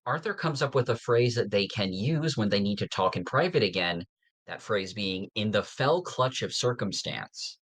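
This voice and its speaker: A man's voice: on the low side, animated, normal speed, a bit bright, husky. A man co-hosting a podcast in a casual, conversational style. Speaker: podcast co-host.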